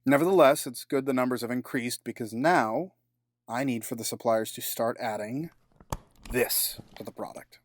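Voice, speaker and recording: An actor playing a cold, scheming middle-aged businessman villain in an audio drama, formal and measured with a sardonic edge. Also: medium pitch, no room sound, close to an everyday voice. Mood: suspicious, commanding.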